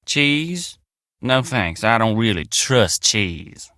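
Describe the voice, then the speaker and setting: An actor playing a folksy, earnest, excitable young country handyman in a video game, with an American Southern accent and a colloquial drawl. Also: on the low side, slow, no room sound, studio quality, full character voice. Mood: wary, puzzled. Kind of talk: video game character dialogue.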